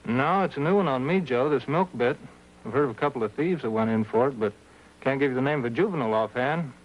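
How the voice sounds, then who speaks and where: An actor playing an easygoing, cooperative American juvenile officer in clipped 1950s police drama style. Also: male voice, medium pitch, fast talker, natural voice. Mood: casual, helpful. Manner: casual, terse, drops subjects. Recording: muffled 1950s TV soundtrack.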